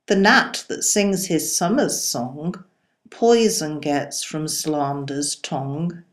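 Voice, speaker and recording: A woman reciting poetry in a solemn style, with measured, deliberate phrasing. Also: on the low side, a little mellow. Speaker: poetry reader.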